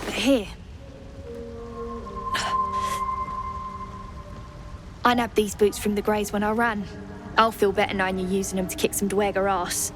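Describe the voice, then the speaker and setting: An actress playing an injured, earnest dwarf artificer in a fantasy game, blunt and weary in manner. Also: female voice, mid-range voice, natural voice. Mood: earnest, resigned.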